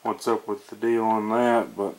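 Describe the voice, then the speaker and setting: A man narrating casually and off the cuff, thinking aloud in a halting way as he tinkers, on a camera microphone in a small room. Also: on the low side, fairly even intonation. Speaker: hobbyist demonstrating a reel-to-reel tape recorder. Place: small cluttered room at home, indoors. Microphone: camera microphone near the equipment. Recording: noisy camera audio with clicks.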